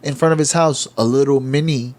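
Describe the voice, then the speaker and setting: A man's voice: mid-range voice, fairly even intonation, a little fast, a little mellow. A man in his thirties from New York, co-hosting a casual podcast chat, laid-back and rambling, often calling his friend "bro". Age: 30s. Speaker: podcast co-host.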